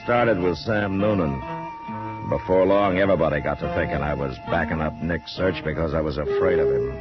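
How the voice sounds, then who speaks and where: An actor playing a steady, weary frontier marshal in a 1950s radio western, plain-spoken and dryly understated. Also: male voice, very deep voice, brisk. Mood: reflective. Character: weary, steady Old West town marshal with dry humour. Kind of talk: 1950s radio western acting and first-person narration. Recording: muffled old radio recording, loud music.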